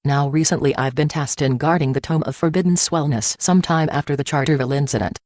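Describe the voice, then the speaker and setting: A woman's voice: deep voice, fast, a little mellow, dry recording, studio quality. A woman voicing a boastful female gargoyle warrior in a cartoon-style video, with a brash, teasing delivery.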